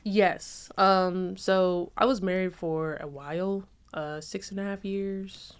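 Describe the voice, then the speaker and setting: Low, smooth woman in her late twenties. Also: slow pace. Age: 20s. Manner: relaxed, thoughtful, frequent "um" and "mm-hmm". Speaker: podcast co-host. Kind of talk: casual chat.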